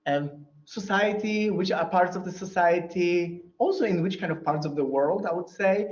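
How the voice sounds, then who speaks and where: A man's voice: high voice, moderate pace. Man, native Polish, answering questions. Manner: hesitant, many fillers and restarts. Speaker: keynote speaker answering questions. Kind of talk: academic Q&A answer. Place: lecture hall. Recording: roomy, dull lecture hall audio.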